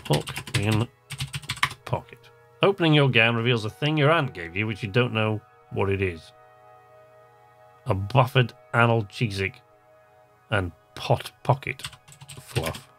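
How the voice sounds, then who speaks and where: A man's voice: fairly low pitch, measured pace, long pauses, over music. A man casually commentating on a game he plays, chatting and muttering to himself and reading on-screen text aloud. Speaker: let's play host.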